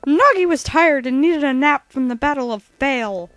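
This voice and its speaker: A woman's voice: high voice, lively intonation, moderate pace, fairly bright tone, no room sound. A woman co-narrating a fan-made comic parody in a slangy, mock-dramatic storytelling style.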